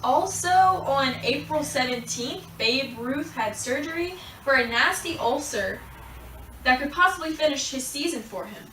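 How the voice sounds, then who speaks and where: A woman playing a clueless, hesitant 1920s radio sports reporter with an awkward, halting delivery. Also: high-pitched, lively intonation, sharp tone, exaggerated voice. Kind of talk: amateur 1920s radio show acting. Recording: echoey room, distant mic, faint noise.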